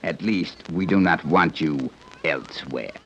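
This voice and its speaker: An actor playing a stern, formal guide from a hidden advanced civilization in a 1930s radio serial, explaining things precisely. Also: male voice, extremely low pitch, measured pace, slightly rough, muffled recording, full character voice. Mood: coldly warning. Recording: noisy old 1930s radio recording.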